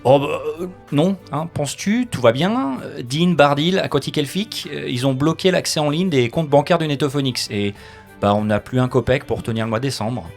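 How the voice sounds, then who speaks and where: A man playing a stressed, sarcastic office administrator with halting, grumbling delivery in an audio comedy drama. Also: fairly high pitch, gravelly. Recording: faint music behind the voice.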